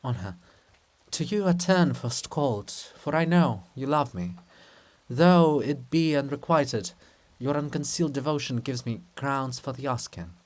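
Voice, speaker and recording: A man's voice: mid-range voice, expressive, a little slow, darkish tone, close to an everyday voice. A man voicing the monument of a proud, lovesick princess in a theatrical, pleading manner. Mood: impassioned pleading.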